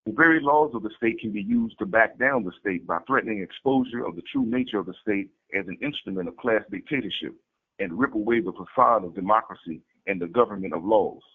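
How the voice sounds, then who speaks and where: A tinny, narrow-band recording carries a low-voiced man with a rough, husky edge. He reads a political statement in a formal, declarative way, setting out each point as scripted doctrine. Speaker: political activist reading a party strategy statement. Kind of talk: scripted reading of a political manifesto.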